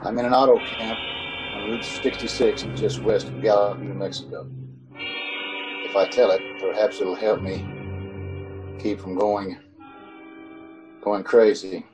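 A man's voice: fairly low pitch, little pitch movement, unhurried, pauses often, music in the background, close to an everyday voice, rough recording. A man playing an anxious driver in his thirties in an old-time radio drama, with dramatic delivery. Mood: tense, desperate. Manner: urgent, dramatic first-person narration. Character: haunted, anxious thirty-six-year-old male driver telling his story.

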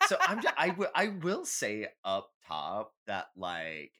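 A man co-hosting a podcast in casual, animated banter, joking as he goes. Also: fairly low pitch, unhurried. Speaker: podcast co-host.

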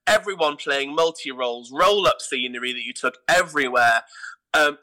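Bright, high-voiced English man telling stories. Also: poor audio. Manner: emphatic, repeats words for emphasis. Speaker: theatre company artistic director, interview guest. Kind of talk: animated anecdotal interview talk.

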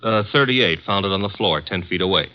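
An actor playing a breezy, brisk male assistant detective in a 1950s radio drama. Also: low-pitched, natural voice. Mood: briefing. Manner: breezy, brisk, matter-of-fact. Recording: dull old radio broadcast.

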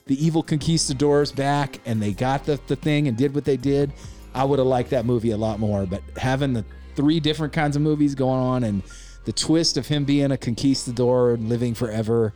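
Opinionated man ranting about a movie. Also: medium pitch. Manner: opinionated, rambling, animated retelling. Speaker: podcast co-host. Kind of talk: casual movie review chat.

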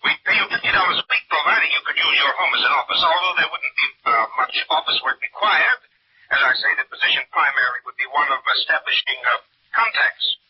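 A man's voice: fairly high pitch, animated, natural voice. An actor playing a persuasive, slightly evasive businessman on a telephone in an old radio drama. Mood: brisk, businesslike. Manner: brisk, persuasive, slightly hesitant. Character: smooth-talking, evasive businessman offering a job. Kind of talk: 1940s radio drama acting. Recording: muffled, noisy old radio telephone sound.

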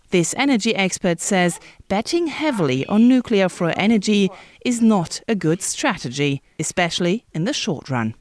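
A woman working as a news reporter, narrating a scripted broadcast report. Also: medium pitch.

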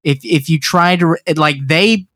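A man from the American South with a Southern accent, chatting haltingly and self-deprecatingly as a podcast co-host. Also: a little high, fairly bright tone, no echo, clean studio sound. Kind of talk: casual chat.

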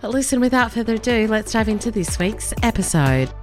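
A woman's voice: on the high side, lively intonation, brisk, music in the background. Upbeat Australian woman podcast host. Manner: upbeat, chatty, addresses listener directly. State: slightly nasal from illness. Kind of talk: casual solo podcast talk.

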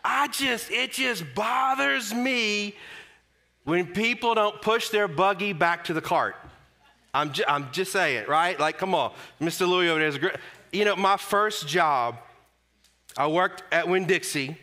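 Joking, bright-voiced preacher man. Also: sits high, unhurried. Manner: chatty, joking, animated storytelling to a congregation. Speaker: guest preacher. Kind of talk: conversational sermon opening with humor.

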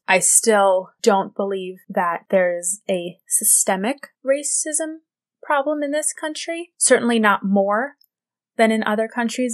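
Soft-voiced woman giving measured commentary. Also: medium pitch. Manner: measured, reflective opinion delivery. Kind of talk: solo opinion commentary.

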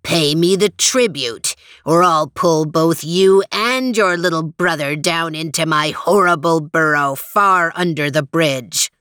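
Woman putting on a deep growling troll, slow menacing drawl, dry close sound. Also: fairly bright tone, clear, resonant tone, no echo. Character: gruff male troll, a hedgehog in disguise. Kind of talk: storybook reading.

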